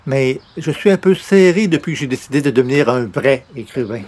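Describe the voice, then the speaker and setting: A man's voice: medium pitch, lively intonation, brisk, a little mellow, slight background noise, close to an everyday voice. A man playing a self-important would-be writer and columnist in an old-time radio comedy, theatrical and grumbling. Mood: polite, hesitant. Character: pompous, wounded would-be great writer and newspaper columnist.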